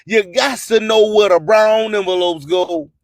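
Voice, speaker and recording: A man with a high voice delivers a slow, rhyming spoken-word radio monologue. He proclaims boastfully and emphatically, stretching out his phrases like a preacher, with a dry, close sound. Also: no room sound, faint music behind. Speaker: radio host and music promoter.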